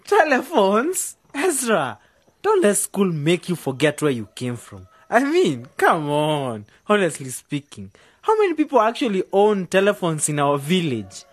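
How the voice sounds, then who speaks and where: An actor playing a cocky, excitable young village man who fancies himself a radio DJ, showy and slangy in a radio drama. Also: medium pitch, unhurried. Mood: exasperated. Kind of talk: radio drama dialogue with hyped DJ patter.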